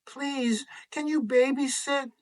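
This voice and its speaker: An excited young mother from a storybook, acted out by a man. The voice is pushed well up in pitch and sounds eager and hurried. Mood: pleading.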